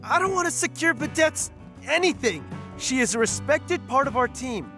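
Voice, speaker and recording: An actress playing a flustered, prickly crewmate hiding a crush in an audio drama. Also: female voice, high-pitched, very animated, moderate pace, bright, forceful tone, soft background music, exaggerated voice.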